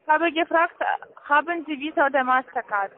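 High-pitched foreign-accented woman on phone. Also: fairly even intonation, brisk. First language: not German. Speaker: call centre account manager. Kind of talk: sales pitch on a phone call. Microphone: telephone. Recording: muffled narrow-band phone line.

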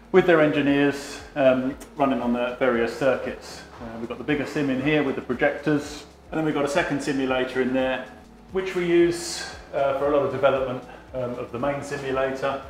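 A man guiding a tour of his team's factory, explaining things in a plain, matter-of-fact way with frequent ums. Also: mid-range voice. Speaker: team staff member giving a tour. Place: large workshop indoors. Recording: roomy workshop echo.

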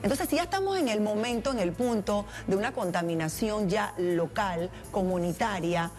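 A woman's voice: mid-range voice, lively intonation, moderate pace, a little mellow, soft background music. A middle-aged woman, a morning show host who asks chatty, rambling questions. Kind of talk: casual TV talk. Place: TV studio.

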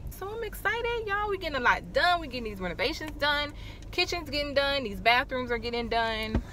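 A woman's voice: high-pitched, expressive, fairly quick, noisy background. A young American woman talking in an informal, chatty way as she vlogs. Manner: informal, conversational. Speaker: vlogger. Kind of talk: casual chatty vlog.

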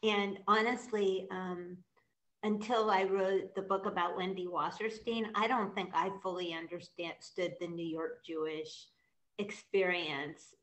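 A woman in her sixties from the Midwest, interviewing a guest over a video call, halting with many ums and restarts. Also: on the low side, steady tone, rough recording. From American Midwest. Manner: hesitant, many restarts and ums, asks long questions. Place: room with brick wall behind.

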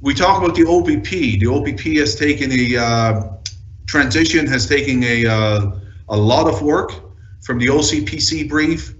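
A man giving a formal slide presentation as a senior town official, reading out lists in measured short phrases. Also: medium pitch, roomy sound, muffled recording.

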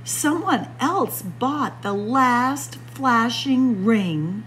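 A woman's voice: a little high, animated, drawn-out words, fairly bright tone, slight background noise, pitched above the performer's own voice, full character voice. A woman voicing an eager young girl bunny in an emphatic storybook delivery. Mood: disappointed.

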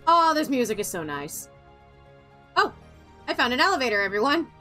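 A woman's voice: high-pitched, big pitch swings, moderate pace, sharp tone. A woman streamer giving casual, playful game commentary, silly and exclamatory, often repeating her phrases. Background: game music.

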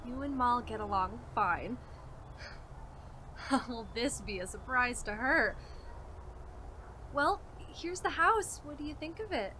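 A woman's voice: fairly high pitch, lively intonation, takes it slowly, pauses often, pushed and bright, slight background noise, full character voice, poor audio. A woman playing an eager, naive young husband with a folksy, coaxing manner in a radio drama. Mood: eager, reassuring.

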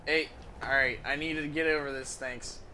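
A man's voice: medium pitch, steady tone, normal speed, bright, forceful tone, slight background noise, rough recording. A man giving casual, profane and easily amused commentary while streaming a game from a room at home.

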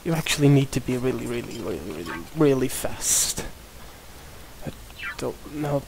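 A man's voice: mid-range voice, lively intonation, moderate pace, gravelly, noisy background. A young man from Portugal with a Portuguese accent, casually commenting on a game while he plays, mumbling to himself. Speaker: gameplay commentator.

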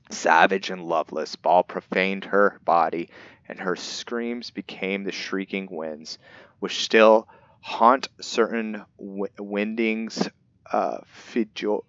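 A man hosting a game playthrough, musing and reading aloud in a halting, casual way. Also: sits low, dry recording, muffled recording. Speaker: gaming video host.

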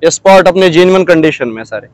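Man, Pakistani Urdu accent, high voice, fast, casual seller outdoors. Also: lively intonation, very noisy. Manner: casual, confident, matter-of-fact answers. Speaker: van seller. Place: outdoor car market.

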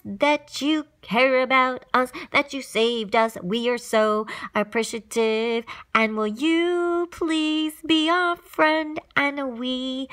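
A tiny storybook mayor, acted out by a woman, timid and full of earnest pleading. The voice is high and bright, like one of the little folk.

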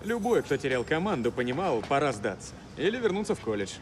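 An actor playing a wry, disapproving brother in a video game, speaking in a dry, sardonic way. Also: male voice, on the high side, big pitch swings, quick pace, slight background noise. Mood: dry, reproving. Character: wry, disapproving older brother, male mechanic.

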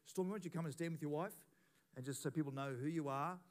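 A man, a pastor, leading a church service in a relaxed, informal way and asking quick questions. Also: fairly high pitch. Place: church hall.

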